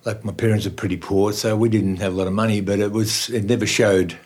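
A man's voice: on the low side, flat delivery, fast, darkish tone, husky. An older man from Austria who speaks with an Australian accent, telling stories as a guest in a casual interview and laughing often. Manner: chatty storyteller, laughs often. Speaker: guest musician.

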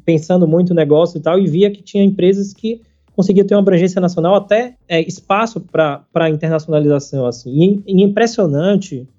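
A man, chatting casually as a podcast guest, halting and full of fillers and casual slang. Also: sits high, dark tone. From Salvador, Brazil. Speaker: startup founder, podcast guest. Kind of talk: casual conversational interview. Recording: slightly muffled, faint background music.

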